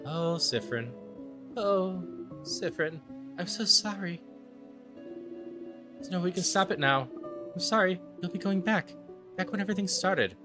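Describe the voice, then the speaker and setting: A gentle, grave mystical leader from a video game, acted out by a man, speaks in a raised, pleading voice. The delivery is earnest and apologetic and moves freely in pitch, with soft music underneath. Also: expressive, unhurried, long pauses. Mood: sorrowful, pleading.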